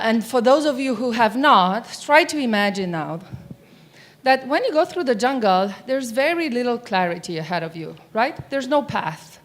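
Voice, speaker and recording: A middle-aged woman from Romania giving a conference keynote on stage, chatty and often asking the audience questions. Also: medium pitch. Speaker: keynote speaker. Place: stage of a large conference hall. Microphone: mic on stage.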